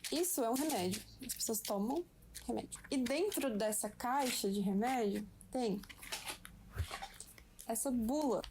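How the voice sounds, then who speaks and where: A young Brazilian woman giving a slow, patient, playful lesson at home to a friend. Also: on the high side, noisy background. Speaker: language teacher for a friend. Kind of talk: casual home-made lesson.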